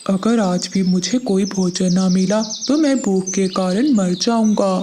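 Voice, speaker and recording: Male cartoon pigeon, acted by a performer: needy, pleading, lower than the other birds, faint noise. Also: measured pace, dark tone, natural voice. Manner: plaintive, pleading. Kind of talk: animated children's moral story dialogue.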